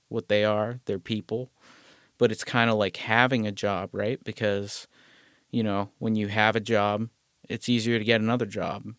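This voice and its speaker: A man talks alone into a close podcast mic, rambling and profane, poking fun at himself as he goes. His voice sits fairly low and comes through smooth and resonant. The room is dead and dry, with no echo at all. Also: fairly bright tone. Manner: rambling, profane, self-deprecating asides. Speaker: podcast host. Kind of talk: casual solo podcast monologue.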